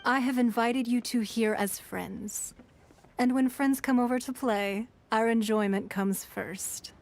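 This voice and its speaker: A woman playing a shrewd, gracious noblewoman in a video game, speaking in a formal, composed and measured manner. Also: a little high, fairly even intonation, darkish tone, faint music behind. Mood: gracious, calm. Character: poised, courtly noblewoman, a shrewd and gracious hostess. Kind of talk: video game character acting. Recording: game audio with faint background ambience.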